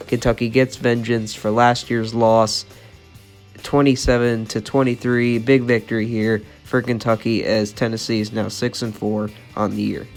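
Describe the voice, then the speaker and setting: A young man with a cold, sounding congested, giving college football predictions in an opinionated, repetitive way as a channel host. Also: mid-range voice. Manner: monotone, opinionated, repeats "I think".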